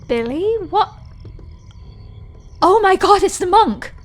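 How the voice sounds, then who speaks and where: A woman's voice: very high voice, expressive, slow, soft background music, exaggerated voice. A woman acting an earnest, whimsical young believer in ghosts in an audio drama, with a theatrical manner. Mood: alarmed.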